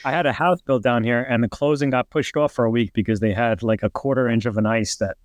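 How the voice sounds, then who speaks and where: A man's voice: mid-range voice, fairly even intonation, quick pace, no room sound. A middle-aged man with a New York accent, a retired fire captain telling rambling stories as a podcast guest and acting out what people said. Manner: rambling, heavy use of "like", acts out quotes. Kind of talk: casual podcast storytelling.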